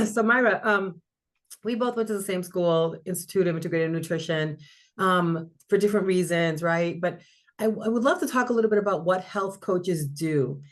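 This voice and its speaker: A woman's voice: lowish voice, moderate pace. A woman wellness coach hosting an interview, chatting in a friendly way with some fillers. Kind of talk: casual interview.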